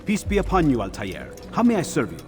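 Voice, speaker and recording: An actor playing a sardonic, theatrical bureau keeper in a video game, with arch, teasing, drawn-out phrasing. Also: male voice, medium pitch, huge pitch swings, over music. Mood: smoothly flattering. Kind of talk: video game cutscene acting.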